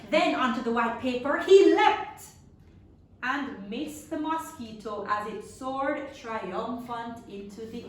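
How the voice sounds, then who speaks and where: A woman with a high voice reads a children's story in a very reverberant room, some distance from the microphone. Her delivery is dramatic and expressive, made for young listeners. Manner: expressive, dramatic, with drawn-out sound effects and questions to listeners. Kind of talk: animated read-aloud storytelling for young children. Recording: very echoey room, distant mic.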